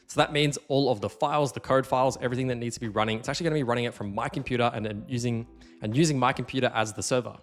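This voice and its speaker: A man hosting a casual software tutorial, explaining things conversationally and stressing the key points. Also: medium pitch. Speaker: tutorial host.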